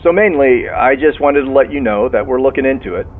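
Actor as genial county sheriff on a thin phone line, courteous, probing, lively male voice in an audio drama. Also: mid-range voice, brisk. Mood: informative. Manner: folksy, courteous, methodically questioning. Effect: phone line filter. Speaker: law enforcement officer. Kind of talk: naturalistic audio drama dialogue. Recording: tinny phone line, car noise.

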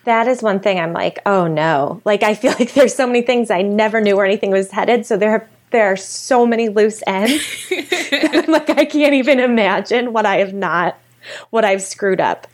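A woman in an easy, informal conversation as a guest who tells stories. Her voice sits at a middling female pitch, and she talks loosely and modestly about her own work. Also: moderate pace. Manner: informal, self-deprecating, peppered with "totally". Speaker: guest, storyteller and fiction podcaster. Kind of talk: casual chat.

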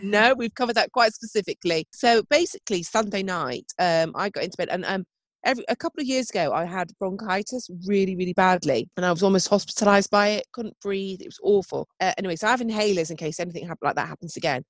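A woman's voice: mid-range voice, fast, no echo. A woman co-hosting a podcast, a bit wheezy with a cough, telling a story in a chatty way. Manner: chatty storytelling with frequent "oh my God" and laughs. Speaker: podcast co-host. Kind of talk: casual chat.

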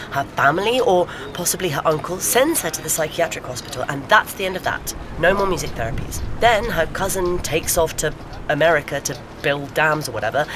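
An eager lady sleuth in an audio drama, animated and pushy, chatty delivery, clear noise behind her. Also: deep voice. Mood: theorizing. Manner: animated, insistent, conversational. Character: eager, theorizing woman, amateur sleuth and friend. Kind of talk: naturalistic audio drama dialogue. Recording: clear background ambience noise.

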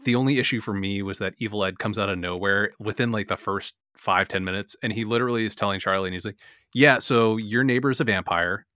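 A man's voice: fairly low pitch, quick pace, bright, forceful tone, no room sound, studio quality. A man co-hosting a podcast, chatting casually and enthusiastically, with lots of filler words. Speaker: podcast co-host. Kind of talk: casual chat.

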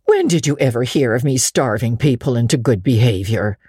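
A woman's voice: rumbling low, lively intonation, moderate pace, mellow, breathy edge, no room sound, full character voice. A woman voicing a stern, no-nonsense older country woman in a storybook, dry and scolding. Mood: indignant.

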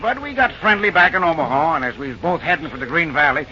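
A man's voice: on the high side, animated, quick pace, bright, forceful tone, faint music behind. An actor playing an easygoing, talkative drifting railroad man with rustic, folksy speech in an old-time radio drama. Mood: earnest. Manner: folksy backwoods speech, drawling rustic grammar. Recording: noisy, dull early radio recording.

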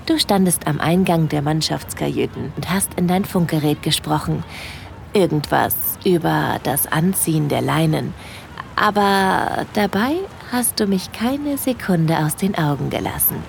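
Audio drama lead: shy, infatuated young woman, slow and hushed, slightly low with some fry. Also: animated, slight background noise, heavily acted. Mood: dreamy, infatuated. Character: shy, smitten young woman on a yacht holiday. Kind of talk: intimate first-person audio drama narration.

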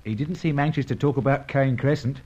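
An actor playing a cheeky working-class crook's sidekick in an old radio drama, slangy, matey and wry. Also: male voice, mid-range voice, fairly quick, a little mellow, breathy edge, natural voice. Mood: curious, alert. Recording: dull, noisy vintage radio recording.

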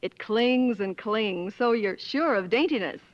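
A woman commentator on a 1950s radio show. She delivers scripted promotional chat with polish and gush, in a fairly high voice, on a dull old broadcast. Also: expressive, a little slow. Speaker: guest Hollywood commentator.

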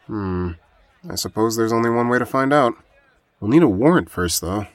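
A dutiful young city guard played by a man with a medium-pitched voice in a dry, close recording. He speaks in a measured, formal, by-the-book way, as in a fantasy audio drama. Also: expressive, no room sound, close to an everyday voice. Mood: formal, firm.

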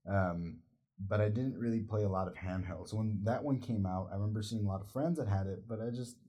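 A man's voice: fairly low pitch, brisk, mellow, husky. A man hosting a podcast, chatting in a laid-back, blunt way and swearing casually. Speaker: podcast host. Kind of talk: casual interview chat.

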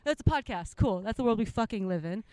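A woman's voice: on the high side, animated, fast, mellow, no room sound. A woman from Japan speaking passionately and casually as an interviewed musician, peppering her talk with "like" and "you know.". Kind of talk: casual, opinionated interview chat.

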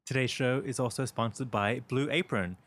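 A man's voice: medium pitch, moderate pace, fairly bright tone. A man from Sydney with a light Australian accent, co-hosting a podcast in teasing casual chat. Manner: teasing, insistent. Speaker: podcast co-host.

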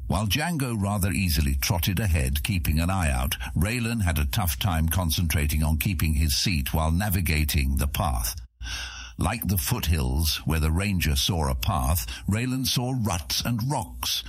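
A man narrating a scripted fantasy audiobook in a measured storytelling manner. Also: deep voice, lively intonation, husky, dry recording.

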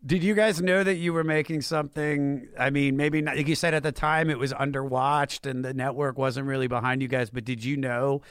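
A man with a medium-pitched voice hosts a casual interview. He asks questions in an informal, conversational way, and the sound is very dry, without room echo. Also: fairly even intonation, brisk, hardly any pauses, a bit bright, clean tone. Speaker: interviewer, host.

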